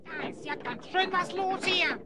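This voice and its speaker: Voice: extremely high pitch, unhurried, a loud crowd. An actor voicing a cheeky little sidekick creature, pert and jokey, fond of sayings.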